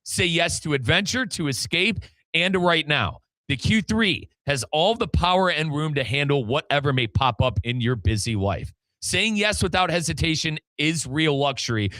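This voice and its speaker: A man with an American accent hosts sports radio in an energetic style. His voice is bright and forceful, pitched a little high for a man, and recorded dry and close in a studio. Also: no room sound. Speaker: sports radio show host and play-by-play announcer.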